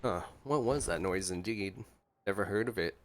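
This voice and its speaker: A man's voice: low voice, moderate pace, noisy background. A man giving casual gameplay reaction commentary as a streamer, with dry, joking asides. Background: faint game music.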